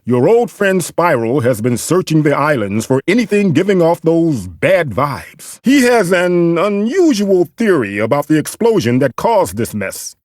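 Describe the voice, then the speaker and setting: A man's voice: fairly high pitch, very animated, a little slow, few pauses, a little mellow, dry recording, studio quality. A man voicing a fussy, theatrical mystical guide in a cartoon video game, formal and earnest in delivery.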